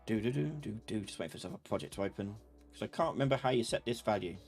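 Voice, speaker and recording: A man hosting a casual live software tutorial, thinking aloud and muttering or humming to himself as he works. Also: on the low side, expressive, very fast, soft background music. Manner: informal, muttering and humming while working. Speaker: host, software instructor.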